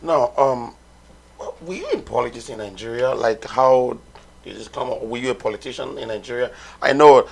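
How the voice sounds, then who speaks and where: A man's voice: mid-range voice, moderate pace, gravelly, a little mellow, slight background noise. A man, hosting a conversational interview in an informal, admiring way. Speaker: interview host. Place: TV studio, seated.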